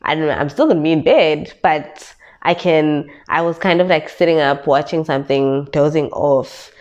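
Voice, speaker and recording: A woman's voice: low voice, normal speed, darkish tone, lo-fi sound. A young woman from South Africa, congested and stuffy, casually retelling her illness in a vlog and repeating herself for emphasis. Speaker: vlogger.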